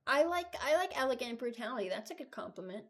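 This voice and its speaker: A woman's voice: on the high side, sing-song, quick pace. A woman in her early twenties giving casual, unscripted commentary as a gaming video creator, rambling and thinking aloud.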